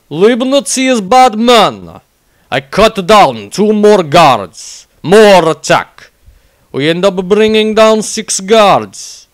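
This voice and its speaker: A man's voice: high voice, no room sound, studio quality. A man voicing a blunt, simple-minded barbarian warrior who tells a violent fantasy diary in clipped, broken grammar. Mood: gruff, brooding. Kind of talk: in-character diary narration, fantasy audio story.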